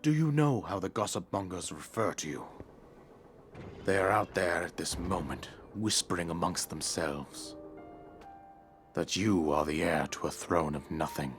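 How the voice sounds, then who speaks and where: A man playing a stern, disapproving middle-aged king and father in a dramatic game cutscene, speaking gravely and formally with commanding weight. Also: low-pitched, lively intonation, measured pace, a bit bright, faint music behind. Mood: stern, reproachful.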